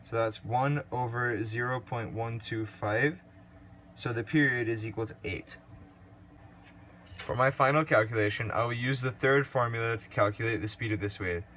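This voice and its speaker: A teenage boy slowly explaining worked physics calculations step by step for a school project. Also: medium pitch, a bit bright, a little hiss or hum. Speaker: student presenter. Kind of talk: read-aloud worked calculations.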